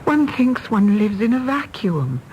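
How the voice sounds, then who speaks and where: An actress playing a weary, self-reproachful middle-aged widow with an English Received Pronunciation accent in a radio drama. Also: female voice, fairly high pitch, sing-song, measured pace, darkish tone, a little hiss or hum, rough recording. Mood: guilty, anguished. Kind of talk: British radio drama acting.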